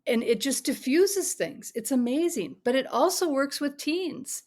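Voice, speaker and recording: A woman's voice: high-pitched, expressive, unhurried. Earnest middle-aged woman parent coach. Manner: earnest, drops to a soft whisper to demonstrate. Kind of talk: podcast interview guest.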